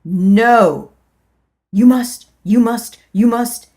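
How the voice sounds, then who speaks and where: A woman voicing a relentless, commanding inner voice that insists with loud repetition in an audiobook reading. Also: a little high, very slow, voice pushed up. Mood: insistent. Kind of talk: audiobook narration.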